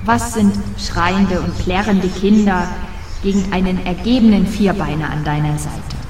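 A woman's voice: lowish voice, a little slow, darkish tone, natural voice. An actress playing a self-justifying single career woman in an audio drama, speaking in an articulate, theatrical way. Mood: insistent, declaiming. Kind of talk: audio drama monologue and dialogue. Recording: echoey room, faint background noise.

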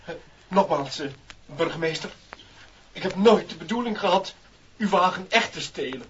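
High-voiced, apologetic car thief. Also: male voice, animated, moderate pace, fairly bright tone. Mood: contrite, pleading. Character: meek, apologetic male car thief making excuses. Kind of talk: 1960s comic radio play acting. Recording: distant, muffled, noisy 1960s vinyl single.

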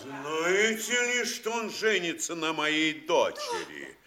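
An actor playing a pompous, blustering town mayor in a classic comedy audio play, imperious and declamatory. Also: male voice, on the high side, very animated, slow pace, sharp tone, roomy sound, full character voice. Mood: indignant fury.

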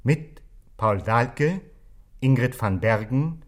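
Slow, measured male radio announcer. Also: medium pitch, animated. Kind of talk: formal scripted radio credits announcement.

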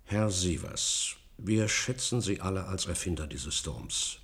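A man's voice: deep voice, animated, measured pace, gravelly, fairly bright tone, heavily acted. An actor playing a stern, suspicious male government commissioner in a radio drama, formal, officious and emphatic.

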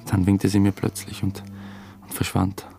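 Low, creaky, confessional man. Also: completely flat delivery, normal speed. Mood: brooding, longing. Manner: confessional, hesitant. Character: uneasy, obsessive male theatre dramaturge confessing his secrets. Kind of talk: first-person radio drama narration. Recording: music under the voice. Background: music.

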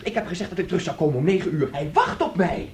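Naive boyish young man, radio drama: high, very animated, stubborn and excitable. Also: quick pace, a little hiss or hum. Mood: stubborn insistence. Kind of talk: radio play acting.

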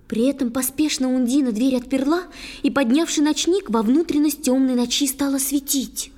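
Женщина-рассказчица, высокий голос. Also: female voice, high voice, normal speed, dark tone. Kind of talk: scripted verse narration for children's audio play.

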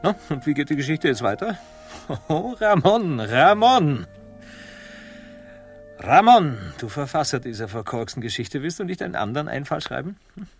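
An actor in a comic radio drama playing a pompous, cowardly, self-pitying admiral, melodramatic and whining. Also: male voice, fairly high pitch, big pitch swings, moderate pace. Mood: exasperated. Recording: music behind the voice.

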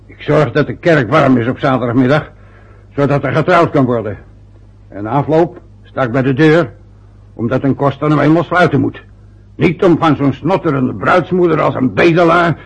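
A man's voice: medium pitch, normal speed. An actor as a grumpy sarcastic father. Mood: gruff. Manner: gruff, sarcastic, resentful. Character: grumpy bitter middle-aged father. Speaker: father, church sexton. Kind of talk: radio play acting. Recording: dull old radio play recording.

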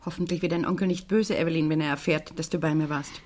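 A woman playing a sad, guarded widow in a German radio crime drama, speaking gently in a hushed, hesitant, measured way. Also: low-pitched, fairly even intonation. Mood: gentle, subdued.

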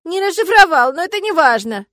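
A woman's voice: very high voice, very animated, fairly quick, pushed and bright, no echo, studio quality, voice pushed up, full character voice. A woman voicing a lovesick, self-reproaching young witch with a dramatic, weepy delivery in an audiobook. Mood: tearful. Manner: wailing, sobbing.

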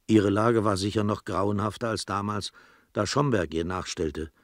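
A man's voice: lowish voice, moderate pace, dry recording, clean studio sound. A man narrating a literary radio play as a scripted storyteller.

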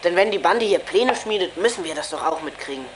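A woman's voice: fairly low pitch, expressive, quick pace, a little hiss or hum, natural voice. A woman playing a practical, confident child detective in a youth radio play.

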